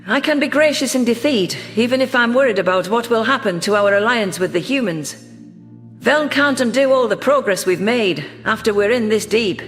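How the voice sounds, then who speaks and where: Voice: mid-range voice, expressive, moderate pace, fairly bright tone, long reverb tail, faint music behind, natural voice. A narrator voicing a defiant, idealistic female alien ex-governor in an audio story, earnest and impassioned. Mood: gracious, resolute.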